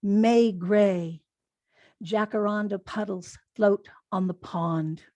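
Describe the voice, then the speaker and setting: A woman poet reading haiku aloud in a measured delivery with pauses between the phrases. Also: medium pitch, steady tone, warm tone, no echo. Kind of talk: poetry reading.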